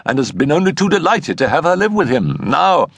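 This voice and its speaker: A man's voice: on the high side, sing-song, fairly quick, gravelly, a bit bright, breathy edge, dry recording, voice pushed up, heavily acted. A man voicing an anxious, frank and earnest male doctor in an audiobook story. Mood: frank, uneasy.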